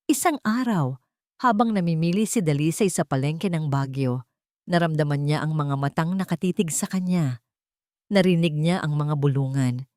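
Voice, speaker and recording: A woman's voice: low voice, moderate pace, a little mellow, no echo, studio quality. A woman reading a scripted romantic drama as a storyteller, with dramatic narration.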